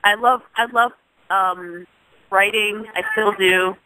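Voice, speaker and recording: A woman's voice: medium pitch, steady tone, slow pace, no echo, low-quality recording. A woman in her thirties, a singer from Jamaica, speaking as an interview guest in a thoughtful, casual way, restarting phrases often. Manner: thoughtful, with frequent restarts and soft laughs.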